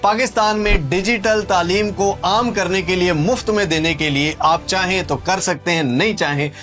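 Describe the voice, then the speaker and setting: A man's voice: high-pitched, normal speed, fairly bright tone, soft background music. A man with a Pakistani accent giving an informal online tutorial, speaking emphatically with repetition and rhetorical questions. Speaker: online skills tutor and video host. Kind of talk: informal tutorial narration, walking viewers through websites.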